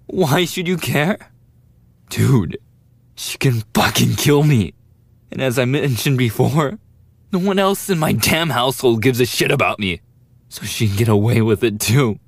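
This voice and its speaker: A man's voice: medium pitch, husky, dry recording. A man playing a brash, defensive young male bully with a desperate side, swearing and taunting in an audio roleplay. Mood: desperate pleading. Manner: foul-mouthed, taunting, defensive talk to the listener. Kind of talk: boyfriend ASMR audio roleplay acting.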